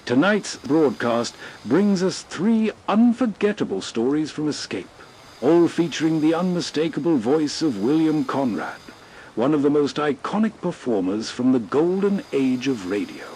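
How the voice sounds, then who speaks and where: A man hosting with scripted, atmospheric radio-night narration in a measured storytelling manner. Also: a little high, a little mellow, slight background noise, low-quality recording. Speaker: host narrator.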